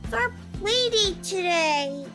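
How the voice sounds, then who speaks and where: Cheeky, bright little girl for a game: very high, bouncy delivery, unhurried, music underneath. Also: animated, heavily acted. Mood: cheeky, expectant. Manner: playful, teasing, calling out. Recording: music playing behind.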